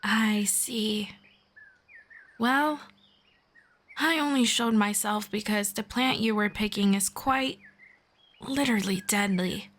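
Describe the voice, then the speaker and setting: A woman playing a friendly, calm forest elf in an ASMR fantasy roleplay, speaking in a measured, playful, gently sarcastic way. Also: mid-range voice, slight background noise. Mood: amused, sarcastic. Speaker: roleplay voice performer.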